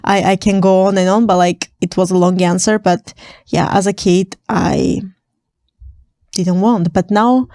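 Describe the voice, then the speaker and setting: A woman's voice: on the low side, fairly even intonation, unhurried, dark tone, no echo, studio quality. Chatty laughing woman from Armenia. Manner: chatty, laughs often, many fillers. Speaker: vlogger. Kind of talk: casual Q&A to camera.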